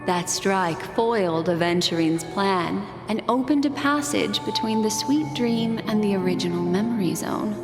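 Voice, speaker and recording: An actress playing a calm, mysterious storyteller in a game cutscene, speaking soothingly and deliberately, straight to the listener. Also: female voice, mid-range voice, natural voice. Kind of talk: scripted game cutscene narration. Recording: echoey reverb with music behind. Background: music.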